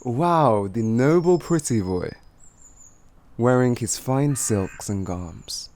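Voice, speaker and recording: A man's voice: fairly low pitch, a little mellow, a little hiss or hum. A man playing a cocky, flirty, streetwise bandit in an improvised fantasy roleplay, with teasing, theatrical delivery. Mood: mocking. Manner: teasing, theatrical, drawn-out phrasing with chuckles.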